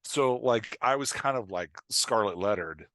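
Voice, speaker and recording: Podcast guest: middle-aged male, lowish pitch, dry close sound, candid and self-deprecating, slips into quoted asides. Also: animated, a little slow, fairly bright tone, no echo. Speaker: podcast guest, fiction writer and dialogue coach. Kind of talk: candid, conversational interview talk.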